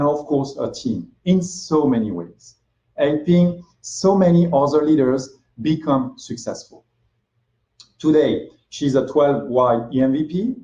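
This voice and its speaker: A man formally introducing a keynote speaker, full of praise. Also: on the high side. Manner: warm and praising. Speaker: event presenter. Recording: echoey and dull.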